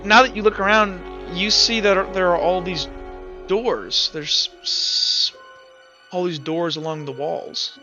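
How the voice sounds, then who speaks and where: Game master, male. High register, sharp bright edge, faint music underneath, casual and halting delivery. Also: fairly even intonation, highs cut off. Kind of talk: narrating an online tabletop roleplaying game.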